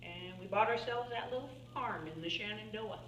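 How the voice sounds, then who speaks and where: A woman playing an elderly, folksy farm-woman painter in a theatrical monologue, halting and musing, plainspoken and wry as she reminisces. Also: mid-range voice, fairly bright tone, lo-fi sound, close to an everyday voice. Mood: fond reminiscing. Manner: halting, musing, with small chuckles and pauses. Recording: roomy, distant mic, faint background noise.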